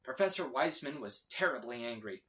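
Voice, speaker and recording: A man reading a children's storybook aloud in a dramatic, expressive way with emphatic delivery. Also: mid-range voice, moderate pace. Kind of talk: animated scripted storybook read-aloud.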